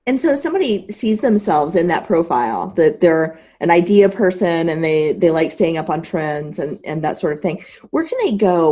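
Female podcast interviewer, dark mellow tone, on the low side, measured and articulate. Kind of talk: conversational interview.

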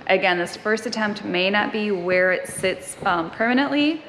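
A woman's voice: medium pitch, lively intonation, moderate pace, a bit bright. A woman in her thirties casually explaining a boat build step by step, filming herself with a camera held at arm's length in a large covered shed. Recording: noisy shed recording.

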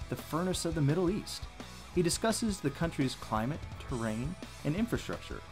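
A man co-hosting a scripted explanatory commentary on a cartoon. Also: on the high side. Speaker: co-host.

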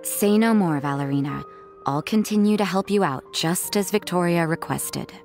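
Game role: woman voicing a detached, sardonic young nun, low voice, unbothered. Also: normal speed, soft background music, lightly acted. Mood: calm. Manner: cool, flat and sardonic. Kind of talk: video game voice acting.

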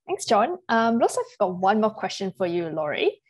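A woman moderating a webinar, brisk and polite as she passes questions to the panel. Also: mid-range voice, sing-song, breathy edge, no echo.

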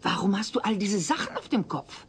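A woman's voice: mid-range voice, sing-song, fairly quick, vocal fry, husky, full character voice. An actress playing a naive, awed, easily moved woman in a German comedy radio play.

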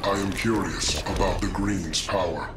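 An actor playing a menacing comic-book fighter in a video game, speaking in slow, ominous declarations. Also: male voice, low voice, over loud music. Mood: grave, ominous. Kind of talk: video game character dialogue.

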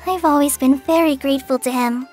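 A woman's voice: high voice, a little fast, close to an everyday voice. A woman voicing a shy, earnest young trainee forest ranger girl in a video game, hesitant and sincere. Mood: earnest, fond. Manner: hesitant, soft-spoken, sincere. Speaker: game character voice. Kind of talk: scripted video game character lines. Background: background music.

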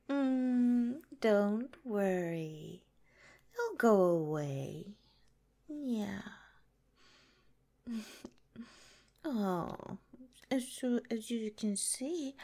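A woman voicing a possessive yandere nurse girlfriend in an ASMR roleplay, sweetly menacing, coy and breathy, often slipping into a whisper. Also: medium pitch, dark tone. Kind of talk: ASMR roleplay, soft intimate drawn-out delivery.

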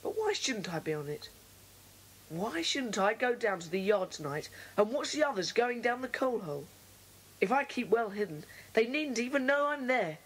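Children's drama part: left-out, plucky British boy, husky, determined. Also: medium pitch, low-quality recording. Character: left-out, determined, mischievous schoolboy. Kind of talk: children's audio drama monologue.

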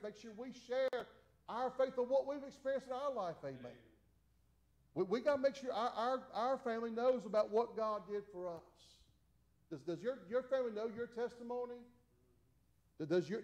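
A man's voice: very high voice, darkish tone. A man in his forties preaching at a Bible study from the pulpit of a small church, folksy, with many restarts and repeated amens. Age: 40s. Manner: folksy, repetitive, stammering restarts, frequent "amen". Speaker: pastor.

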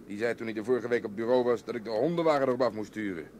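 Grumbly policeman guy acting, old radio play, clipped n dry, normal pitch, faint noise. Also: rapid-fire, darkish tone, lightly acted. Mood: dry, grumbling. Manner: clipped, matter-of-fact.

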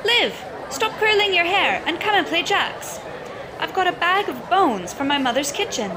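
A woman voicing an eager, urgent young Roman boy in a storybook, calling out excitedly. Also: extremely high pitch, normal speed, background noise, pitched above the performer's own voice. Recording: loud music and crowd sounds underneath.

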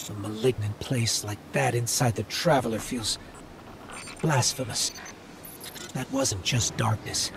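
A man's voice: mid-range voice, rough recording. An actor voicing a small male robot companion in a video game, loyal, caring and anxious, speaking in an earnest, articulate way.

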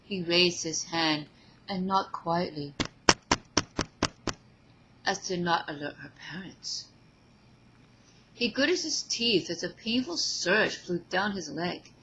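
A woman reading fan fiction aloud as an expressive story narrator. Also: lowish voice, takes it slowly, pauses often, noisy background.

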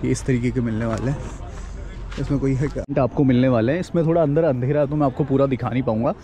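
A man's voice: mid-range voice, steady tone, quick pace, warm tone. Chatty young man vlogging outdoors. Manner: chatty, mixes English words into Hindi. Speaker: travel vlogger. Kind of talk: casual walk-and-talk vlog. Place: outdoors at a hillside campsite. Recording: faint outdoor noise.

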